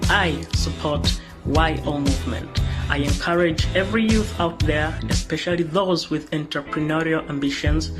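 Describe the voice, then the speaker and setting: A man's voice: a little high, normal speed. A man from Tanzania giving an earnest, formal endorsement message. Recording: loud guitar music behind.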